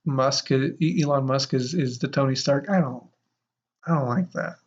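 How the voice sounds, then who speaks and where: Podcast co-host with a mid-range voice, wry and halting, casual chat, muffled lo-fi audio. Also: medium pitch. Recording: dull, lo-fi sound.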